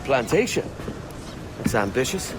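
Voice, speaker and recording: A roguish young pirate captain in a game cutscene, ambitious and wry. His mid-pitched voice comes through loud outdoor noise of the sea. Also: sing-song, a little slow. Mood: thoughtful. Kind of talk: video game cutscene acting.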